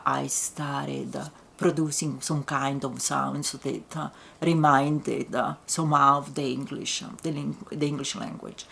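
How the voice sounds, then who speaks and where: A woman whose first language is Italian speaks English with an Italian accent, low and slightly gravelly in tone. She talks haltingly, stopping often to search for words. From Italy. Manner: halting, full of pauses and fillers. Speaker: writer and teacher. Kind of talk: reflective interview.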